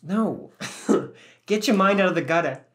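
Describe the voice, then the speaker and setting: A man's voice: sits high, expressive, a little slow. A young man co-hosting a podcast, telling stories in a rambling, joking way and swearing often. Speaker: podcast co-host. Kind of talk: casual chat.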